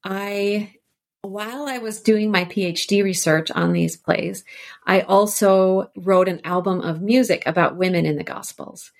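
A woman's voice: mid-range voice, a little slow, vocal fry. A woman scholar speaking as a podcast guest, explaining ideas thoughtfully and conversationally, often repeating words as she works out a thought.

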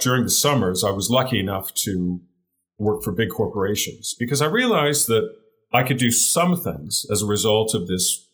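Guy in his 40s, deeper voice, interview guest telling his story, keeps restarting words, earnest. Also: low-quality recording. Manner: earnest, repeats words while searching for phrasing.

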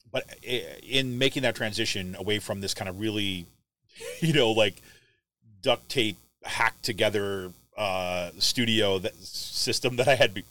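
A man's voice: lowish voice, bright, forceful tone, rough recording. A man hosting a podcast, chatting casually and rambling with many fillers and restarts, recorded on a studio podcast mic. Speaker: podcast host. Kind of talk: casual chat.

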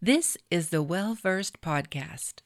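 A woman with a fairly low voice delivers a scripted podcast introduction. The sound is dry, with little room around her. She speaks as the show's announcer. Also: animated, takes it slowly, no echo.

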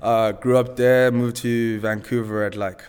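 Chill young zimbabwean guy on a podcast, smooth voice, reverb tail. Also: medium pitch, level tone, a little slow, darkish tone. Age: young adult. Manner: laid-back, easygoing. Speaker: podcast guest. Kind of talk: casual storytelling.

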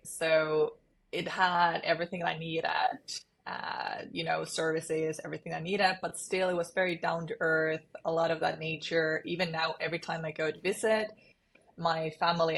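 Low-pitched Finnish woman being interviewed. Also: gravelly. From eastern Finland. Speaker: interview guest, sales leader. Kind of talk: conversational interview.

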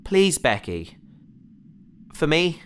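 An actor playing an earnest, excitable researcher on a spaceship crew in a sci-fi audio drama, with a gentle, bookish manner. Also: medium pitch, extremely slow, a little hiss or hum. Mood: gentle, pleading.

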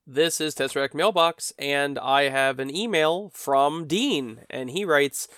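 Stuffy worn-out guy rambling on his podcast, smooth voice, dry close mic. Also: fairly high pitch, slow pace, fairly bright tone, dry recording. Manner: rambling, self-mocking humor. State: stuffy from a cold; tired. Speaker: podcast host. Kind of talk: solo podcast chat. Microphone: podcast microphone.

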